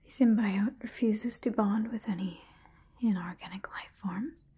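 Calm female sci-fi scientist acted by a young woman, dark breathy whisper, halting clinical dictation, ASMR roleplay. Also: medium pitch. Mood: clinical, hushed. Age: 20s. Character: calm, clinical female scientist examining an alien-infected patient.

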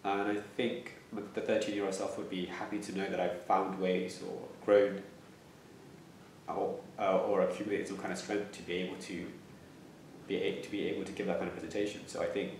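Low, flat-voiced young man who stammers. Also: slight background noise. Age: 20s. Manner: stammers, with repeated words and restarts. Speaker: interviewee. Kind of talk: reflective interview answers. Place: plain room indoors. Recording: echoey room, slightly distant mic.

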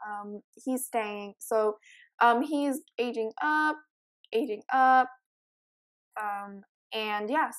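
A teenage girl with a raspy morning voice, chatting casually and giggling while commentating a life-sim game. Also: a little high. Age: teens. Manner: chatty, giggly, rambling with many ums. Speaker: let's play host.

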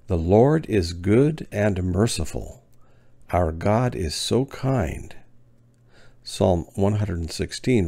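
Brief: deep gravelly male teacher, slow and deliberate, lively pitch. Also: no echo. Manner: spaced-out words with long pauses, clear enunciation. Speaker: ESL teacher. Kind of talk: slow, deliberate lesson reading.

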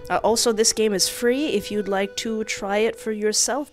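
A woman hosting a gaming video in a playful, expressive, jokey and theatrical way, close on the mic. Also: fairly high pitch. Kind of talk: playful let's-play commentary with read-aloud game text. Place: small home room. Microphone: close microphone on boom arm.

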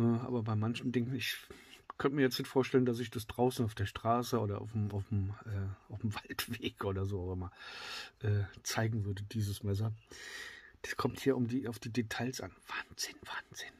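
A middle-aged man with a slightly congested voice, reviewing a knife in a casual, filler-heavy way that often drops to excited whispers. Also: mid-range voice, slightly rough, no echo. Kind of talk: casual tabletop product review. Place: indoors at a wooden table.

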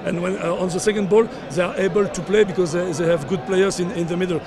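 An older man coaching football, speaking at a post-match press scrum outdoors, halting with many fillers and repeated words. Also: high voice, steady tone, crowd noise. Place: outdoors at a sponsor backdrop, surrounded by reporters. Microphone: cluster of handheld reporter mics and phones.

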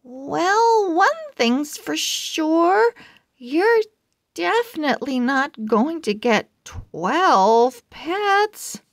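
Woman voicing a frank, high-pitched young owl. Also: very animated, drawn-out words, dry recording, clean studio sound, heavily acted. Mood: wry. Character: young owl friends, frank, exasperated, concerned.

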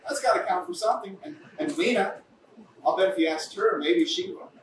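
A man's voice: high voice, fairly quick, natural voice. Man acting indignant protective brother, echoey recording. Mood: reassuring. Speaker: actor in a staged play reading. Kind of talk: live dramatic script reading. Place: small cafe, indoors. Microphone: far camera microphone. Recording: echoey cafe, distant camera mic, noisy.

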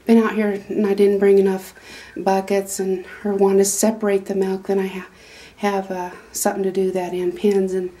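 A woman's voice: medium pitch, completely flat delivery, moderate pace, few pauses, dark tone. A middle-aged woman, giving a rambling, homespun tour of her small wooden goat barn with lots of fillers and restarts. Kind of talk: casual walkthrough narration. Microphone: handheld phone camera.

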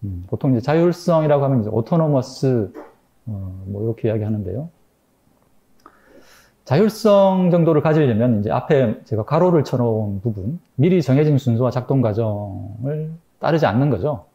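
A man in his forties gives a public lecture from a lectern in a gallery room. His voice is dark and mellow, and his thoughtful, hesitant delivery is full of fillers and pauses. The recording sounds dull and lo-fi. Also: mid-range voice, animated, creaky. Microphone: microphone stand at lectern.

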